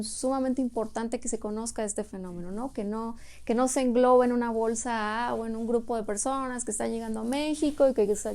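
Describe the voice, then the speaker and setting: A woman's voice: a little high, dark tone. A woman heading a refugee agency office, answering interview questions in an earnest, explanatory way, often ending points with a questioning tag.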